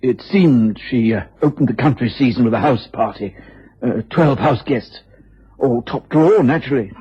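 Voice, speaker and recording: A husky, hesitant British police inspector. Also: male voice, medium pitch, moderate pace. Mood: uneasy, reporting. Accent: British English. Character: cautious, deferential Scotland Yard police inspector, man. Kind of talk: radio drama acting. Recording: muffled, hazy old radio drama recording.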